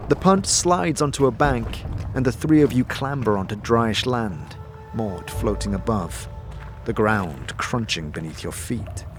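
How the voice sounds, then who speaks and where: A man's voice: lowish voice, expressive, unhurried. A man narrating a fantasy audio drama as game master, with dramatic, descriptive storytelling. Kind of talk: scripted second-person fantasy narration. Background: music.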